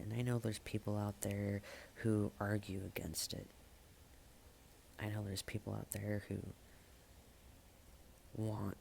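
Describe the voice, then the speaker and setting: A man performing spoken-word slam poetry in a halting manner, with dramatic pauses, repeated phrases and hushed endings. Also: on the low side, vocal fry, slight background noise. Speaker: slam poet.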